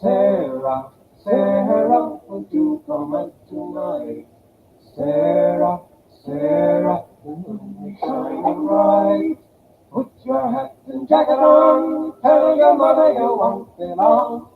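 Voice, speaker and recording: A man singing a slow love song. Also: extremely high pitch, very animated, noisy background. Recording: phone-like, echoey old song recording.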